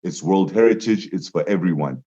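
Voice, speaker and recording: A young man with a very low, deep voice tells his story in a relaxed interview. He gets animated, often laughing and lifting his voice for quoted lines. The sound is dull and lo-fi. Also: a little fast, husky. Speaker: musician guest.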